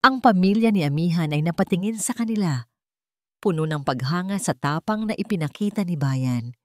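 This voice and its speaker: Female narrator, lowish register, scripted dramatic storytelling, very dry sound. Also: expressive, normal speed, darkish tone, no room sound.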